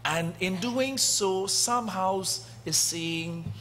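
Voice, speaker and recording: A middle-aged priest preaching a sermon in a church, speaking deliberately with long pauses, swelling loud and then dropping soft, into a lectern microphone. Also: male voice, sits high, faint music behind. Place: church sanctuary, indoors. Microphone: lectern gooseneck mic.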